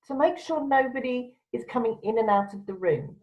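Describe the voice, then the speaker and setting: A woman's voice: a little high, lively intonation, moderate pace. A woman presenter giving instructional advice to camera in a firm, direct, emphatic way. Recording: dull, lo-fi sound.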